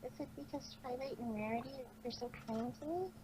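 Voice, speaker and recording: Woman voicing a high-pitched brooding pony mare, noisy mic. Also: lightly acted. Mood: conflicted, guilty. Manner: brooding, halting, drawn-out self-questioning. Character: proud female cartoon unicorn pony, sulky and guilt-ridden. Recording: noisy amateur microphone recording.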